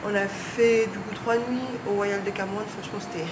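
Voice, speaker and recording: A woman's voice: mid-range voice, fairly even intonation, a little fast. A young woman talking casually to a handheld camera on a hotel veranda, rambling with fillers. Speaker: travel vlogger. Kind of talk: casual vlog talk to camera. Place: outdoor hotel veranda with tropical garden. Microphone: handheld camera at arm's length. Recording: noisy outdoor camera audio.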